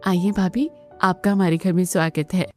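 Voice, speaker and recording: A woman's voice: on the low side, steady tone, normal speed, over music, natural voice. A woman voicing kind, caring women of a family in a Hindi cartoon, speaking emphatically and expressively. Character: kind, indulgent mother and her caring, chirpy daughters.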